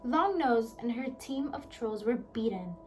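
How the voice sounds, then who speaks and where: A woman reading a children's storybook aloud with lively, expressive narration. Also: fairly high pitch, unhurried, faint music behind. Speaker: audiobook reader.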